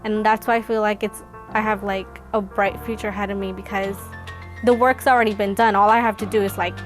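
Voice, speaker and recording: A woman speaks reflectively and hopefully in a documentary interview. her voice is of medium pitch, with music clearly heard underneath. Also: flat delivery, fairly quick.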